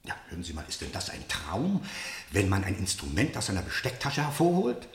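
A hard, authoritative male general in a German radio drama. His delivery is probing and sardonic, with lively, swooping intonation. The room around him echoes clearly. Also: sits low, brisk, heavily acted. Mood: probing, sceptical. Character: stern male military general conducting a harsh interrogation. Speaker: actor. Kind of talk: German radio play acting. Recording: roomy, echoing studio radio play.

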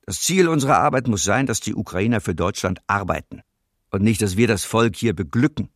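A man's voice: lowish voice, expressive, a little fast, dry recording, studio quality, natural voice. An actor playing a cold, domineering male Nazi occupation official in a German radio docudrama. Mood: cold, commanding.

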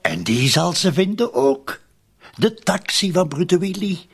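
Cynical Flemish murder accomplice, radio drama. Husky voice, very animated delivery, streetwise and pressing. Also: male voice, high voice, a little slow, heavily acted. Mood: grim, sardonic. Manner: insistent, colloquial, spelling things out.

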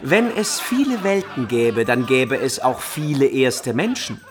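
Man playing an eccentric, obsessive medieval scholar, wildly animated. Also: mid-range voice, unhurried, lightly acted. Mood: agitated, amused. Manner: pedantic, theatrical, emphatic. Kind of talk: German radio play acting. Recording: studio radio drama over music.

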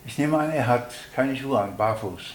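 Man explaining haltingly in a roomy space. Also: mid-range voice. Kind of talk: spontaneous explanatory talk. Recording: roomy sound with faint noise.

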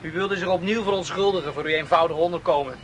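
Man playing a bright, high-voiced young mind-reader. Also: fast talker, natural voice. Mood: teasing. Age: young adult. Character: youngest brother, teasing mind-reading young horseman. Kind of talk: Dutch radio drama acting. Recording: radio play with faint outdoor noise.

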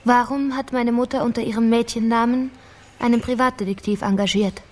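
An actress playing a determined, guarded politician's daughter in a radio crime drama, direct and reserved. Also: female voice, on the high side, steady tone, brisk, natural voice.

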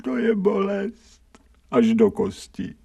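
Radio drama casting: scrawny sardonic stray dog, husky, wildly swooping delivery, self-pitying, set back from the mic. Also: male voice, sits high, huge pitch swings, dark tone, far from the mic, highs cut off, heavily acted. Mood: suffering, bitter. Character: bitter, streetwise, scrawny male stray dog.

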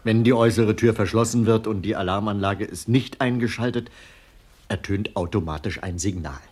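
Very deep, husky criminal insider. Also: male voice, darkish tone. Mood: dry, factual. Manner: dry, measured, precise. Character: matter-of-fact male insider expert in a crime gang. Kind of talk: 1970s German radio crime comedy acting.

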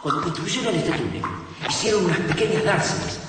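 A steady, commanding survivor leader in a radio drama. He speaks with a Rioplatense accent, his pitch moving freely and a little raised. A clear hiss of noise sits under the voice. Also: fairly quick, distant mic. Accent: Rioplatense Spanish. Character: Favali, calm older man leading survivors.

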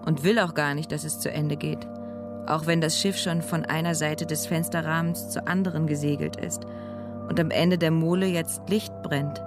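A woman's voice: low-pitched, steady tone, moderate pace. Woman narrator over prominent music. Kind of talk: literary present-tense narration in a radio drama.

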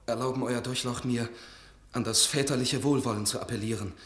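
A man's voice: mid-range voice, fairly even intonation, a little fast, fairly bright tone, natural voice. A young actor in his twenties playing an earnest young regimental doctor who pleads respectfully before his ruler in a radio drama. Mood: pleading. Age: 20s. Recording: roomy studio echo.

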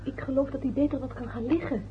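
A concerned, gentle young woman played by a woman in a vintage radio drama. Her voice sits a little high. The recording is thin and has a faint hiss. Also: fast talker, narrow, tinny audio, close to an everyday voice.

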